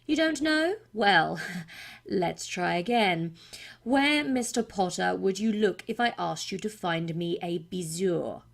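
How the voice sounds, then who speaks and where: A woman performing a cold, disdainful teacher from a fantasy film. The words come slowly and precisely, with a gravelly creak and a sneering, swooping emphasis. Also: medium pitch, animated, heavily acted. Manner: slow, deliberate, sneering, precise. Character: stern, disdainful potions master addressing a class. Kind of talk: film scene monologue.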